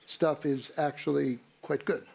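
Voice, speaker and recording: A man answering on a conference panel, halting, with many fillers and stuttered restarts. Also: a little high, fairly even intonation, gravelly, a little mellow, husky. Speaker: panelist investor.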